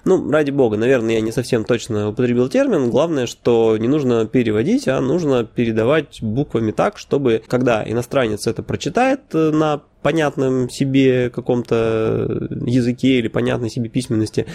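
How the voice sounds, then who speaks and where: Relaxed man talking with wry asides. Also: mid-range voice, moderate pace, creaky. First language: Russian. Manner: conversational, frequent filler sounds and self-corrections. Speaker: podcast host. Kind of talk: casual monologue podcast.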